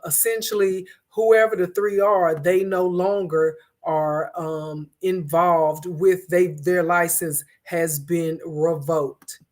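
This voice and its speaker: Hoarse woman host, lower voice. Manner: conversational, with frequent fillers and restarts. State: hoarse from a cold. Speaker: host of an online commentary show. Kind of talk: casual commentary to viewers.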